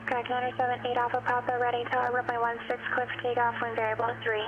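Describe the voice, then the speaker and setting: A woman working as an air traffic controller, giving clearances in clipped, even radio phraseology. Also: on the high side, lo-fi sound, faint music behind. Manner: brisk, even, procedural. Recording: noisy radio channel.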